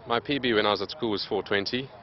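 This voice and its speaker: Interview subject: man in his late 20s with a low voice, talking steadily with lots of "um"s, in a dull, lo-fi outdoor recording. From Zimbabwe. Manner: steady, plain, many "um" pauses. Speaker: interviewed athlete. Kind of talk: casual interview answers.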